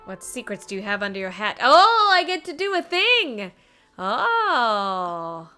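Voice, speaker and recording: Bright, animated woman game streamer. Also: fairly high pitch, slow, clean tone. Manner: expressive, exclamatory reactions. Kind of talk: casual live game commentary, reading dialogue aloud. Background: game music.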